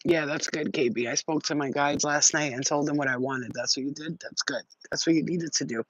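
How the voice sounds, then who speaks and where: A man giving a casual spiritual reading on a live stream, telling rambling, excitable stories with plenty of swearing. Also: a little high, fairly quick, highs cut off. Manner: rambling, profane, excitable storytelling. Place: outdoors near trees.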